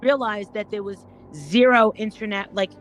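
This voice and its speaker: A woman chatting casually as a panel guest, rambling and haltingly repeating her words as she goes. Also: medium pitch, soft background music. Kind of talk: casual gossip chat.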